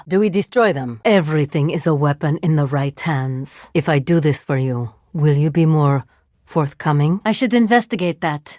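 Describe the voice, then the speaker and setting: An actress as a detached reanimated agent. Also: female voice, lowish voice, no echo, clean studio sound, natural voice. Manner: precise, formal, detached deadpan. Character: calm clinical reanimated woman agent with drones. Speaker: video game character. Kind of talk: game voice lines. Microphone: close studio mic.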